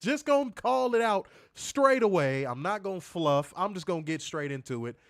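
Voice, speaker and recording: A man with a fairly high voice and a congested, stuffed-up nose, co-hosting a wrestling review. He rants in a blunt, profane and animated way. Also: normal speed. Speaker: podcast co-host. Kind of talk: casual ranting wrestling review.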